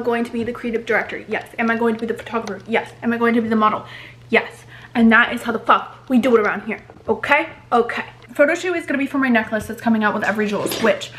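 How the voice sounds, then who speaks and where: A woman's voice: a little high, a bit bright, a little hiss or hum. A woman in her twenties vlogging casually at home, chatty and swearing often, filmed on a handheld camera. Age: 20s. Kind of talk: casual vlog chat. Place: apartment bedroom and kitchen. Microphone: handheld vlog camera.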